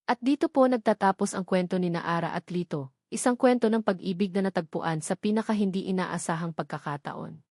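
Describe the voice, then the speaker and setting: A woman narrating a scripted story in a measured, sentimental storybook delivery. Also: medium pitch, a little mellow, no echo, studio quality.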